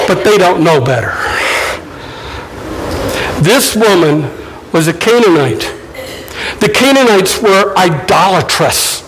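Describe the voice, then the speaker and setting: A male pastor preaching a sermon in a conversational, deliberate way. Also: sits high, fairly bright tone, slight background noise, low-quality recording.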